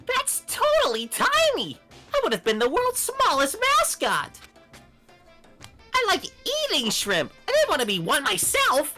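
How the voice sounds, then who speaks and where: Squeaky, wildly theatrical robot bear mascot. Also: female voice, wildly swooping pitch, a little slow, pushed and bright, soft background music, heavily acted. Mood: theatrical storytelling. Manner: gleeful, theatrical storytelling. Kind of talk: hobby fan voice-over of a video game.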